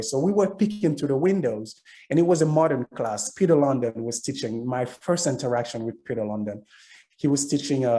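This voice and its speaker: A man's voice: medium pitch, warm tone. A middle-aged man with a Haitian accent, telling a personal story casually as a panelist.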